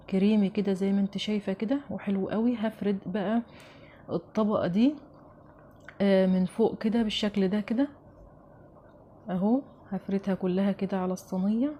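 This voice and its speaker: A woman's voice: medium pitch, highs cut off, a little hiss or hum. A woman with an Egyptian accent hosting a home cooking show, chatting familiarly to viewers as she narrates a recipe step by step.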